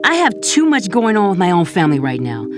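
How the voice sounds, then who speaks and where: A woman's voice: mid-range voice, huge pitch swings, fast talker. A woman playing a guarded, exasperated middle-aged mother in an audio drama, firm and emphatic. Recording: music under the voice.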